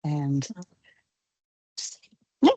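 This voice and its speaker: A woman's voice: very slow, mellow. A woman from Quebec introducing herself casually over a video call, with loose phrasing and fillers. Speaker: counseling student. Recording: dull lo-fi video call audio.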